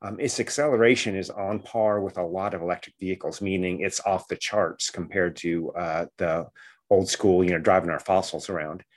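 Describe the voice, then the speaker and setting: A man's voice: sits low, fairly even intonation, normal speed. A man co-hosting a podcast, talking casually and enthusiastically with many fillers and restarts. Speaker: podcast co-host.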